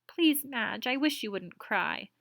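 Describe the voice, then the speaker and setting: A woman playing a gentle elderly mother, lifted higher than the reader's own voice and drawn out slowly. Her tone is soothing and patient, close and dry. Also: expressive, creaky, dry recording, full character voice. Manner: slow, soothing.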